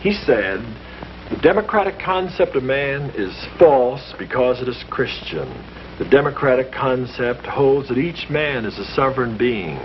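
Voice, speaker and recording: An earnest man lectures with a lively, swooping delivery that rises and falls as he stresses his points. He sounds like an old 1950s film reel, muffled and dull, with a faint hiss under him. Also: medium pitch, a little slow. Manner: earnest, emphatic, explanatory. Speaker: lecturer explaining history to friends. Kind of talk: scripted 1950s film dialogue.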